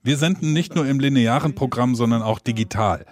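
A man's voice: medium pitch, brisk, darkish tone. A man reading a scripted voice-over translation of an interview.

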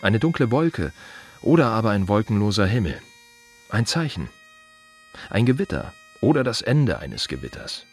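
A man playing a reflective, wry gravedigger in an intimate radio play monologue, speaking in musing fragments with a husky voice. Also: fairly low pitch, sing-song, soft background music, full character voice. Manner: musing, short fragmentary phrases with pauses. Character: reflective male gravedigger, wry, plain-spoken, quietly observant.

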